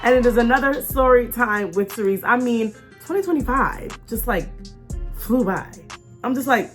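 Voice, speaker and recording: A woman hosting an informal faith talk in an animated, conversational way, stressing and repeating key phrases and acting out imagined remarks. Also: a little high, normal speed, soft background music. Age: adult with young children. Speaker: host of a faith storytime show.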